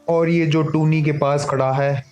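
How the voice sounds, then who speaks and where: A man voicing an elderly owl, the elder of the neighbourhood, in a measured, formal way for an animated moral story. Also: on the high side, dull audio, soft background music, natural voice. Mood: questioning, measured.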